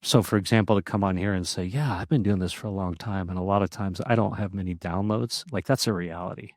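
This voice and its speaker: An outgoing man with a fairly low, powerful voice that projects, chatting as a podcast guest. He is animated and laughs often, and the sound is close and dry with no room echo. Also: quick pace. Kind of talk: casual interview conversation.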